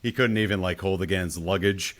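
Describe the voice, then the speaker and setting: A man's voice: low voice, steady tone, a little fast. A man hosting a casual film discussion in an inquisitive way, often putting questions to the group. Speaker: podcast host.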